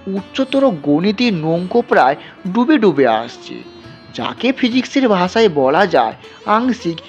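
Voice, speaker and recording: Slow, expressive man narrating a story over music. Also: sits high. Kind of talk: scripted dramatic story narration. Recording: dull, lo-fi sound.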